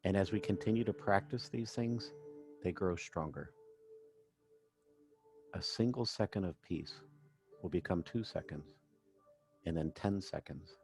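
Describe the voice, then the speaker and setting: A man working as a meditation coach, narrating a guided session in gentle, measured phrases with pauses. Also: low voice, gravelly. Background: background music.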